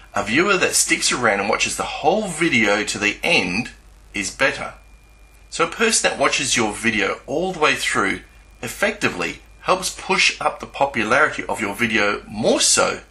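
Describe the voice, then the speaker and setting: Very bright, crisp-edged male explainer. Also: lowish voice, low-quality recording. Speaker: YouTube channel narrator. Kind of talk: scripted explanatory narration.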